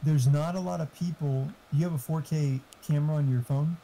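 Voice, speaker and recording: A man's voice: on the high side, steady tone, a little fast, creaky, dark tone, low-quality recording. A man chatting casually and giving tech advice, swearing freely as he goes. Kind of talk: casual chat.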